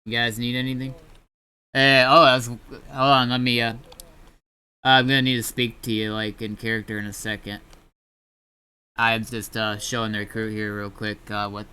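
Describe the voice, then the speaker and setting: A man chatting casually in an online roleplay game, in a halting, rambling and informal way. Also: medium pitch, level tone, sharp tone, smooth. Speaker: streamer playing a gang leader in online roleplay.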